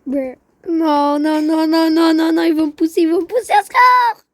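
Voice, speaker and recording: A woman giving casual, excitable gaming commentary, full of slang and repeated phrases. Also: extremely high pitch, moderate pace. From Quebec, Canada. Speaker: gaming video host.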